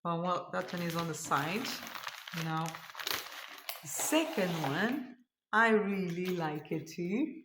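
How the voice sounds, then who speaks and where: A woman's voice: fairly low pitch, lively intonation, takes it slowly, pauses often, roomy sound, background noise. A woman whose first language is Portuguese, hosting a cross stitch vlog, tired at the end of a long day, chatting and thinking aloud as she searches for words. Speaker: cross stitch channel host. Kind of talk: casual show-and-tell vlog.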